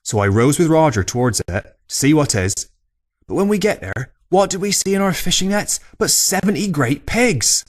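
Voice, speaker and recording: A man voicing an old Cornish fisherman telling a tall pub tale in animated, exclamatory dialect. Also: a little high, moderate pace, pitched above the performer's own voice. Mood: excited, astonished.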